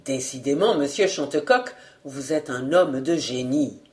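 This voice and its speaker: A woman's voice: very deep voice, animated, a little slow, a little mellow, pitched below the performer's own voice. A woman voicing a proud young male reporter who speaks emphatically. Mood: indignant.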